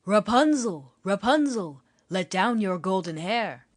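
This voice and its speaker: A woman playing a bold young prince in a fairy-tale audiobook, speaking with measured confidence. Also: medium pitch, lively intonation, no echo, clean studio sound. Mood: calling out.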